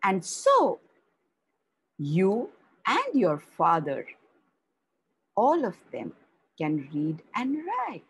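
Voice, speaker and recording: A woman voicing a gentle, sad but determined grandmother in her sixties, speaking softly and earnestly in a storybook reading. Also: medium pitch, wildly swooping pitch, creaky, slightly rough, full character voice. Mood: fond, wistful. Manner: soft, earnest, slow storytelling delivery.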